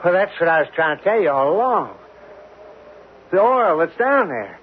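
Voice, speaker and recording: High-pitched flustered rig hand. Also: male voice, big pitch swings, moderate pace. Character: deferential, defensive male rig hand. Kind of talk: old-time radio drama acting. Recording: muffled, crackly old radio recording.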